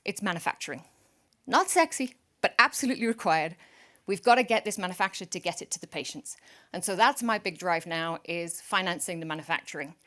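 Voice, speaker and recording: Upbeat woman pitching from a stage. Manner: energetic, joking salesy pitch. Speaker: startup CEO pitching to investors. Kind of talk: conference pitch talk. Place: conference stage.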